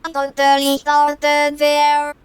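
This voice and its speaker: A synthesized female character voice from a voicebank, repeating speech in a stilted, robotic monotone. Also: high-pitched, takes it slowly, faint music behind.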